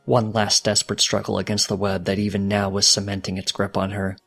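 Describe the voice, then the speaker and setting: A man reading fiction aloud as a dramatic, solemn narrator with a deliberate delivery. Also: fairly low pitch, monotone, vocal fry, fairly bright tone, faint music behind. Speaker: fanfiction narrator.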